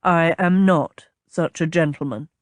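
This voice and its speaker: A woman voicing a stiff, pompous male captain in an audiobook, with a low growly tone and a clipped, exasperated, formal manner. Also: little pitch movement, a little slow, dry recording, clean studio sound. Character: gruff, pompous man, a stiff captain and bodyguard.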